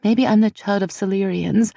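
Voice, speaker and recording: An earnest, steady young woman with a dark, mellow tone, as a woman narrator voices her. She speaks firmly, a little above the narrator's usual pitch. The recording is dry and close. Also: normal speed, creaky, dry recording, close to an everyday voice. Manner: earnest and firm.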